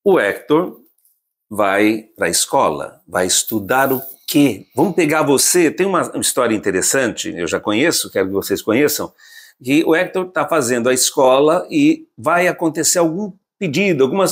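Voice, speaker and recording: A man from Brazil hosts the conversation with a voice of ordinary pitch for a man. He steers his guest with leading questions and often repeats or rephrases what the guest has just said. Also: animated, normal speed. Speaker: psychiatrist interviewer. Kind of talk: conversational interview.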